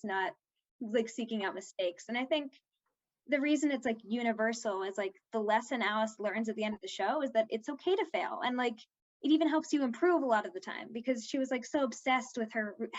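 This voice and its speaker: A young woman with a fairly high voice talks casually, as an actor in an interview. She is informal and says "like" very often. The audio is slightly dull and lo-fi. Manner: informal, heavy use of "like".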